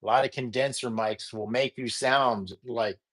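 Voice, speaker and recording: Slow, deep-voiced rambling male host. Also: steady tone, fairly bright tone. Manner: rambling, halting, full of fillers. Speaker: podcast host. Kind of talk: casual gear tutorial.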